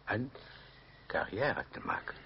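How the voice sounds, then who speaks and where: An actor playing a gruff but soft-hearted old aristocratic godfather in a radio play, grumbling and hesitant in a paternal way. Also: on the low side, lively intonation, slow, heavily acted. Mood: mollified. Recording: muffled old radio play, faint noise.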